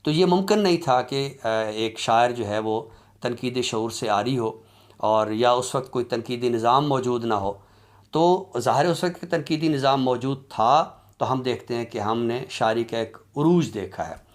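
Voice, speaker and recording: A man giving an academic lecture in a deliberate, explanatory way, with halting pauses. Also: mid-range voice. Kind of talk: academic lecture on literary criticism.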